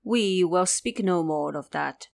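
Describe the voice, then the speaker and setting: A woman's voice: lowish voice, expressive, takes it slowly, vocal fry, darkish tone. Woman narrating an audio drama.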